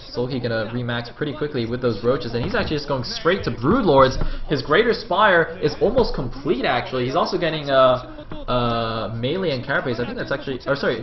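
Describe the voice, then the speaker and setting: A man commentates live on a competitive video game in a casual, think-aloud way. His voice sits at an ordinary male pitch. The audio is dull and lo-fi, with a crowd clearly audible behind him. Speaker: esports commentator.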